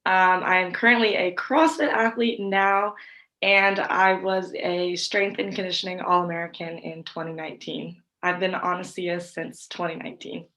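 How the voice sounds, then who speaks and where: A woman's voice: fairly low pitch, steady tone, moderate pace, bright, forceful tone, low-quality recording. A woman in her twenties, a CrossFit athlete, introducing herself in a friendly, direct way. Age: 20s. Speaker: CrossFit athlete giving a testimonial. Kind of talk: testimonial interview.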